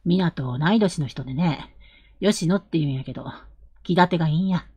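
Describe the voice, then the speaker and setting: A woman playing an earnest, protective young man, a rough-spoken elder brother, in a Japanese period audio drama. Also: low voice, dry recording, lo-fi sound. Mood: earnest, gentle. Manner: earnest, measured, gently insistent.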